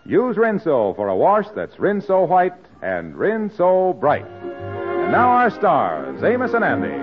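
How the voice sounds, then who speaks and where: A man's voice: sits high, huge pitch swings, slow, music in the background. A man announcing an old radio show in an emphatic, scripted 1940s sales style. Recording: muffled old radio recording.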